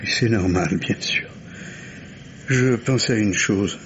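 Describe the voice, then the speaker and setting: An actor playing a distraught, insistent father in 1950s French radio drama style, halting and repeating himself. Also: lowish voice, a bit bright. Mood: bewildered. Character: distraught bourgeois husband and father, anxious and insistent. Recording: dull, noisy old radio recording.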